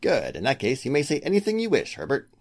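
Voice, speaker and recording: A man playing a protective, wary defense attorney in a 1940s radio drama, husky and formal in his guarded speech. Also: medium pitch, huge pitch swings, fast talker, a little mellow. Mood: guarded, courteous.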